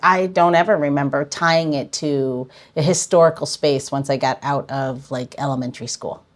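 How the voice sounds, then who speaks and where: A woman's voice: low voice, normal speed. A woman hosting a podcast, chatting casually and hesitantly, with many restarts and fillers. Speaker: podcast host. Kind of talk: casual chat.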